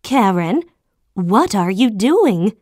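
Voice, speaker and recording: A woman voicing a kindly older female figure in a children's English-lesson cartoon, speaking in a deliberate, carefully enunciated way. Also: medium pitch, very animated, darkish tone, slight background noise. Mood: mild, questioning.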